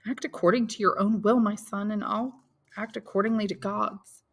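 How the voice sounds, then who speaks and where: Woman reading as sorrowful mother, raised pitch. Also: fairly even intonation, moderate pace, close to an everyday voice. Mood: resigned. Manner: sighing, murmuring, emotional. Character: sorrowful, tender mother of a grown son. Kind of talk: audiobook character dialogue.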